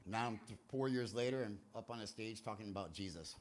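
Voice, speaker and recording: A man's voice: lowish voice, a little fast. A man hosting a church recovery meeting from a stage. He talks in a loose, rambling, jokey way with sudden bursts of emphasis. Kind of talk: energetic sermon-like talk to a crowd. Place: church hall, speaking from a stage.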